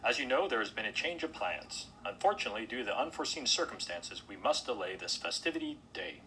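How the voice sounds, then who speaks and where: A man's voice: mid-range voice, very animated, fast, pushed and bright, a little hiss or hum, voice pushed up, poor audio. A man voicing a formal, anxious male hotelier animal in a storybook.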